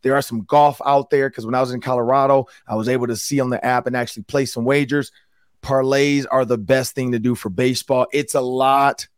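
A man hosting a sports podcast, talking in a loose, upbeat way with a hoarse voice. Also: mid-range voice, steady tone, a little fast, dry recording, clean studio sound. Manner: upbeat, loose, repeats words. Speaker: podcast host. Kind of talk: casual sports talk.

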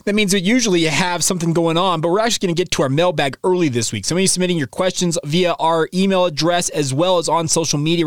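Energetic male sports podcast host, slightly sore throat. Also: a little high, dry recording, clean studio sound. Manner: energetic, conversational, addresses listeners as folks. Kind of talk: casual sports podcast talk.

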